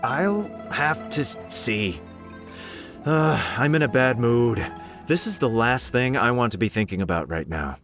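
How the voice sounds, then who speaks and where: A man's voice: medium pitch, lively intonation, a little slow, a bit bright, soft background music. A man playing a testy, proud official in a video game, blunt and grumbling like a sore loser. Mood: sulky, indignant.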